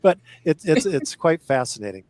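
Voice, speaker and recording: A man's voice: on the high side, sing-song, normal speed, darkish tone, no echo. An older man, an actor guest on a casual podcast, rambling through memories with frequent restarts and laughter, speaking over a remote call. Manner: rambling, self-correcting, laughs often.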